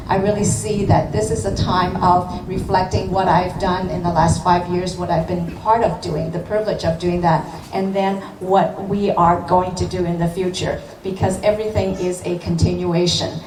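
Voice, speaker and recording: A woman's voice: fairly low pitch, far from the mic. A middle-aged woman, a city council member, gives an earnest campaign speech full of fillers and restarts. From born in Hong Kong, grew up in Los Angeles. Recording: echoey room, voice from afar, noisy.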